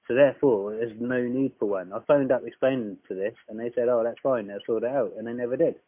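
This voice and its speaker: A man with a mid-pitched voice argues as a caller with a complaint. He is insistent and blunt, repeating his points. The recording is dry and dull, like a lo-fi phone call. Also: brisk, no echo. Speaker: caller disputing a tax account.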